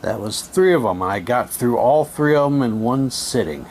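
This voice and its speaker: A man's voice: medium pitch, lively intonation, normal speed, darkish tone. Man in casual unboxing talk, noisy recording. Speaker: hobby vlogger unboxing audio drama sets. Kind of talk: casual unboxing talk, reading printed props aloud.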